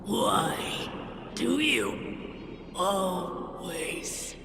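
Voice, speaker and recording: An actress playing a menacing, vengeful shadow phantom in a video game, with a drawn-out, anguished delivery. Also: female voice, mid-range voice, animated, vocal fry, noisy background, exaggerated voice. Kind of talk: video game boss acting. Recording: long reverb, loud music behind.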